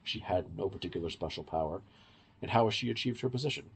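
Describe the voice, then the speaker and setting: A man's voice: sits low, expressive, fast, gravelly, slight background noise, low-quality recording. A man hosting a review podcast, explaining things in a casual, conversational way. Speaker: podcast host reviewing audio dramas.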